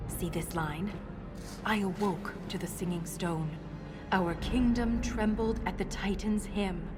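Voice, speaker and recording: An actress playing a principled dwarf historian in a video game, measured, earnest and wry. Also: female voice, medium pitch, fairly even intonation, close to an everyday voice. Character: principled, scholarly female dwarf historian, dryly defiant. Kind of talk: video game dialogue acting. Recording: music behind the voice.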